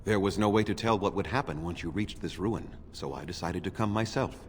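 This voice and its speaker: A man acting as a proud, bitter, ancient elf clan leader in a fantasy game, speaking in a measured, formal and condescending way. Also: low-pitched, a little hiss or hum. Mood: dry, guarded.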